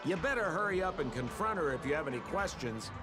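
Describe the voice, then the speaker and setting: An actor playing a flamboyant, teasing trickster in a video game, theatrical and mocking, with a smugly cryptic, insinuating manner. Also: male voice, fairly high pitch, very animated, quick pace. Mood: sly goading. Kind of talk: video game character dialogue. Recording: background music under the voice.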